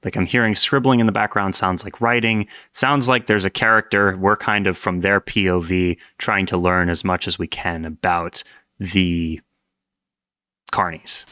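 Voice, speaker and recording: A man's voice: deep voice, steady tone, moderate pace, bright, forceful tone, no echo, clean studio sound. A man hosting a reaction video, talking casually in a playful, joking, mock-scolding manner with some swearing. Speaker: host reacting to horror videos.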